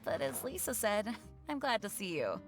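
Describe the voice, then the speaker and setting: An actress playing an earnest, dutiful young commander in a video game, polite and restrained, speaking over music. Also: female voice, medium pitch, big pitch swings, moderate pace. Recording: music behind.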